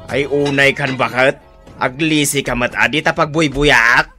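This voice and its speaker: Bright-voiced grumpy old Ilocano husband. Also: male voice, mid-range voice, steady tone, a little slow, soft background music. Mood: grumbling. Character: grumpy, lazy old husband glued to his show. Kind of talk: Ilocano radio comedy drama acting.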